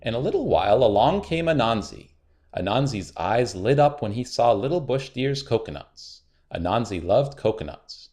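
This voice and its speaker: A man reads a children's story aloud in a mid-pitched voice. His delivery is highly animated and expressive, leaning on key words for dramatic effect. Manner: expressive, dramatic repetition and emphasis. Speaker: storybook narrator. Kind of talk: children's read-aloud narration.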